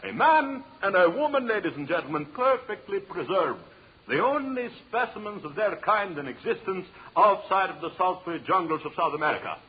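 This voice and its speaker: A high-voiced, husky macabre stage lecturer in vintage radio drama. His grandiose oratory swoops widely in pitch. The old recording sounds dull. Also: animated, moderate pace, full character voice. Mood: solemn showmanship. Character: showman lecturer unveiling macabre jungle specimens.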